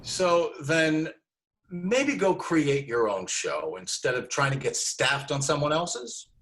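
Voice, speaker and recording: A man acting a busy male Hollywood talent agent in a screenplay table read, brisk and offhand. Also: on the high side, very animated, fairly bright tone, exaggerated voice. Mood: offhand advising. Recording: noisy remote online recording with clicks.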